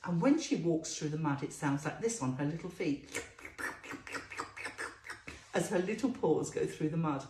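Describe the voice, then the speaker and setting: Animated woman telling stories to young children. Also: deep voice, echoey room, a little hiss or hum. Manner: sing-song, drawn-out emphasis, addressing small children. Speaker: children's storytime presenter. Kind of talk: storytelling to young children with questions and phonics.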